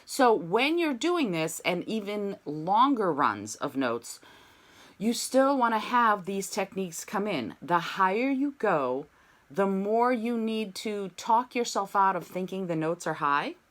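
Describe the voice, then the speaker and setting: A woman's voice: mid-range voice, big pitch swings, unhurried. A woman teaching an online singing lesson, coaching students and demonstrating sung scales on "ma". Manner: encouraging, demonstrates sung vowels.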